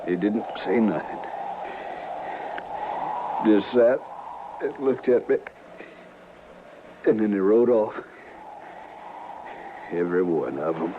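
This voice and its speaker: An actor playing a badly hurt, dying cowboy, halting and pained, with bitter resignation, in a Western radio drama. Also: male voice, lowish voice, expressive. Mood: fading, resigned. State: gravely injured, weak, labored speech. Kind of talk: old-time Western radio drama acting. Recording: tinny, noisy old radio recording.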